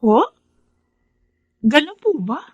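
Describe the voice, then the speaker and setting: A self-sacrificing, struggling mother in a Filipino radio drama. Her voice sits fairly high and carries earnest emotion. The sound is very dry and close. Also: sing-song, slow, husky, no room sound, phone-quality sound, full character voice. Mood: weary, respectful. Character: struggling, self-sacrificing widowed mother of five, market vendor.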